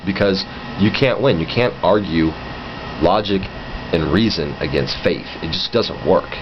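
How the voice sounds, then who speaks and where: A man's voice: low-pitched, a little slow, muffled recording, noisy background. A man giving a casual, opinionated monologue, blunt and exasperated, swearing and acting out conversations as he goes. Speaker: vlogger.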